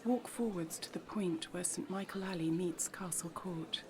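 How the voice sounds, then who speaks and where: A woman's voice: fairly low pitch, normal speed, a crowd in the background. A woman whispering short walking directions on an audio tour. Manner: soft whisper. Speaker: direction giver.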